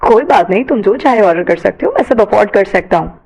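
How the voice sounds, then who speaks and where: A woman's voice: medium pitch, expressive, fast, vocal fry, darkish tone, slightly rough. A woman voicing an easygoing, generous young man in an audio story, casual and reassuring.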